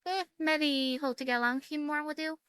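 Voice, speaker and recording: A woman reading a visual novel aloud as a game streamer, casually and haltingly, dropping in side comments of her own. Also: high-pitched, fairly even intonation, a bit bright, no echo. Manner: halting, stumbling read-aloud.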